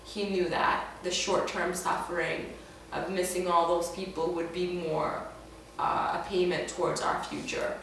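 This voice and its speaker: A woman's voice: lowish voice, little pitch movement, unhurried, a bit bright. A young woman from Ethiopia, a native Amharic speaker, telling her life story in an interview. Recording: echoey room, distant mic, faint noise.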